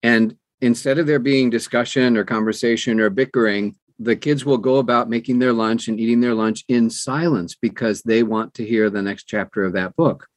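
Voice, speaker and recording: A man's voice: medium pitch, steady tone, moderate pace, few pauses, no echo. An older man, an audiobook narrator, talking conversationally as an interview guest and telling anecdotes.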